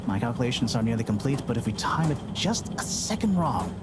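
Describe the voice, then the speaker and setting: An actress playing a precise, proud scientist doing calculations in a video game, with a theatrical delivery. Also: female voice, rumbling low, very animated, fairly quick, background noise. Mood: calculating.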